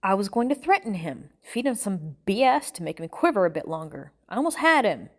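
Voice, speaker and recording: A woman voicing an exasperated, menacing bartender man interrogating a captive, with slow, deliberate, threatening delivery in an audiobook story. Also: medium pitch, very animated.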